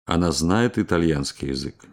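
Male course voice, booming low register, measured and clear for drill cues and questions. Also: lively intonation. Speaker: language course speaker. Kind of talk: scripted language drill reading.

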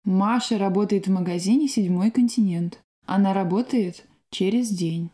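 A woman with a mid-range, mellow voice reads sentences and exercise prompts for a language course. Her delivery is clear and measured, like a textbook recording. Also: medium pitch. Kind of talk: scripted language drill reading.

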